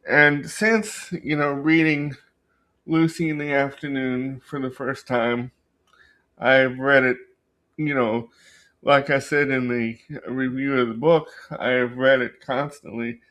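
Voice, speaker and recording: A man hosting a book channel, telling a story casually and unscripted, haltingly searching for words and often rephrasing himself. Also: medium pitch, fairly even intonation, a bit bright. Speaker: book review channel host.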